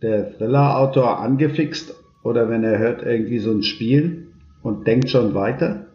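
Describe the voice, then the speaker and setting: Male interviewer, casual questioning, dull lo-fi recording with clear room echo. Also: mid-range voice, moderate pace. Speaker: podcast host. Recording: dull, roomy lo-fi sound.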